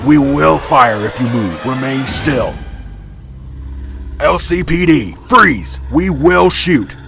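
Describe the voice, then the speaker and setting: A man's voice: mid-range voice, animated, slow, vocal fry, fairly bright tone, faint music behind, heavily acted. A man playing a stern, urgent, authoritative police officer in a radio drama. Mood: tense commanding. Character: stern, commanding veteran male police officer.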